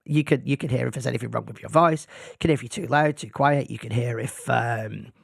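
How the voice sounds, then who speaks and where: Man, gravelly voice, losing his voice, rambling self-deprecating podcast host, dry close mic. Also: medium pitch, brisk, no echo. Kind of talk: casual solo podcast chat. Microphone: podcast microphone.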